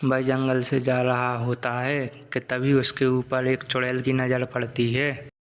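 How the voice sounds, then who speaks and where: Male story narrator, mid-pitched, unhurried, very even intonation, scripted delivery. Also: clear, resonant tone.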